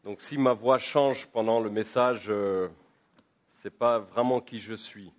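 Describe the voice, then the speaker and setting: Pastor preaching with a head cold. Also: male voice, fairly even intonation, slow. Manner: conversational, with frequent fillers and repeated words; rises in pitch and volume when building to a point. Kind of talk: sermon. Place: church.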